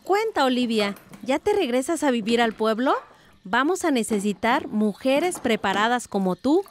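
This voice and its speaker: A woman's voice: a little high, sing-song, normal speed, slight background noise. A woman playing a thoughtful, determined middle-aged village aunt in a radio drama, speaking earnestly and reflectively. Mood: delighted.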